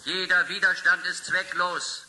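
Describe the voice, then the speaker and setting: An actor playing a police officer ordering a criminal to give up, calling from outside. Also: male voice, high-pitched, level tone, measured pace, background noise. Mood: commanding. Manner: commanding, from a distance.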